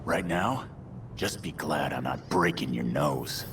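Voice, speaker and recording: An actor playing a brooding, sardonic undead vigilante in a video game, a big gruff man who speaks dryly. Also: very deep voice, lively intonation, background noise, exaggerated voice. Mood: dry menace. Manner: dry, sardonic, unhurried. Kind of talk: video game cutscene acting.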